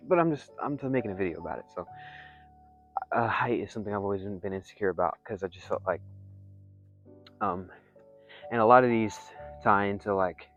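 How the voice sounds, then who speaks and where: A young man speaks in a soft, somewhat low voice with a constant congested, nasal quality. His delivery is halting and rambling, full of fillers and restarts, like a candid personal vlog. The recording sounds a little dull and lo-fi, with faint music underneath. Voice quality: congested, nasal from blocked nose; soft, not loud. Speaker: vlogger.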